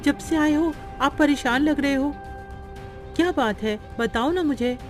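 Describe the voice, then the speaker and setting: A woman's voice: high voice, measured pace, darkish tone, faint music behind, pitched above the performer's own voice. A woman voicing a caring, soothing and respectful young wife in a cartoon story. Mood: reassuring, concerned. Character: caring, reassuring young wife of a poor man.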